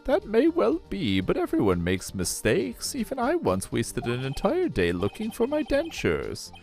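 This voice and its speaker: A man's voice: high voice, wildly swooping pitch, a little slow, creaky, darkish tone, faint music behind, pitched above the performer's own voice, exaggerated voice. A man voicing a flustered, dim old male judge while reading a video game aloud. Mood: befuddled. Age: older.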